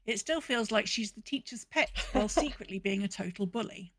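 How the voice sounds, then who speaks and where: A woman's voice: medium pitch, brisk, fairly bright tone, no room sound. A woman co-hosting a podcast, chatting casually and reading out listener submissions. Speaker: podcast co-host.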